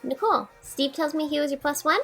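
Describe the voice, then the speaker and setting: A woman's voice: high-pitched, a little fast, a bit bright, soft background music. A woman playing a peppy, friendly young mother in an audio drama, chatting in a chirpy way. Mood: cheerful, welcoming.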